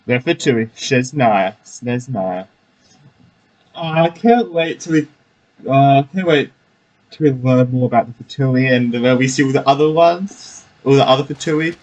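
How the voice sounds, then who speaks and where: A man's voice: medium pitch, a little slow, a little mellow, low-quality recording. A young man giving casual playthrough commentary, reacting in short spontaneous bursts and musing to himself. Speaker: gaming streamer. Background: game music.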